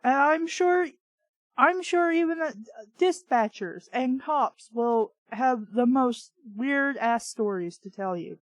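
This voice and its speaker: A woman's voice: high voice, expressive, slow pace, no room sound. A woman reading fiction aloud and breaking in with sarcastic, irreverent, exasperated commentary. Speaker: book review host.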